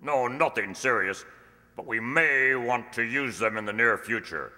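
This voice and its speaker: An actor playing a pompous, hawkish military general, suspicious and blustering, in a comic radio play. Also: male voice, mid-range voice, measured pace, bright, forceful tone. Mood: businesslike. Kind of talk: comic science-fiction radio play acting. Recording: echoey hall with long reverb.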